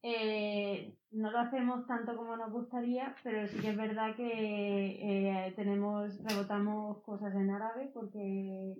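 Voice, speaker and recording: A woman from a neighborhood union answers a question at a public debate, hesitating and filling pauses as she thinks. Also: mid-range voice, little pitch movement, a little hiss or hum. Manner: hesitant, pausing with fillers. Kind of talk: spontaneous reply at a debate. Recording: echoey, muffled room audio.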